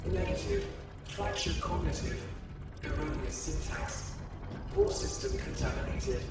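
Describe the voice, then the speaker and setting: A man's voice: on the high side, huge pitch swings, a little slow. A man voicing a damaged artificial intelligence in a video game, speaking in fragmented, mechanical bursts. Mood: malfunctioning, urgent. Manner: fragmented, telegraphic, mechanical phrases. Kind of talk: video game character acting. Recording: echoing, distant, loud music behind.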